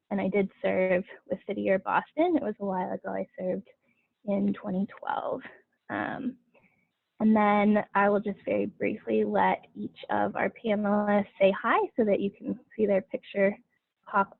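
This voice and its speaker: A young woman from Colorado moderating a video-call panel, explaining the plan in an organized, even way. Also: mid-range voice, unhurried, vocal fry, slightly rough, dry recording. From Colorado, USA. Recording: tinny phone-like video call audio.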